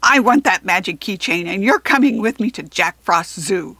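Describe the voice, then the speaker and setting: A woman voicing a bratty, gloating male goblin in a children's story, with a shouting, screeching, sulky manner. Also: medium pitch, expressive, moderate pace, no room sound. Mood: furious.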